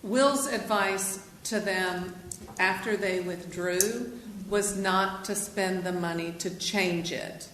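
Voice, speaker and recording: A woman's voice: medium pitch, slow, a little hiss or hum. A woman on city staff explaining procedure firmly at a council meeting. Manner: firm, explanatory, says she has a loud voice. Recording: echoey meeting room.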